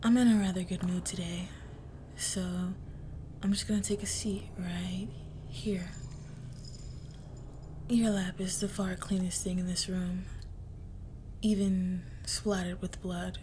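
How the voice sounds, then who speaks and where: A cool crime heiress, acted by a woman, speaks very slowly in an even, controlled tone. She is teasing and condescending, with quiet menace and breathy asides, in an intimate audio roleplay. There is some noise behind the voice. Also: on the low side. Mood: coolly seductive. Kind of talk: scripted ASMR-style roleplay monologue.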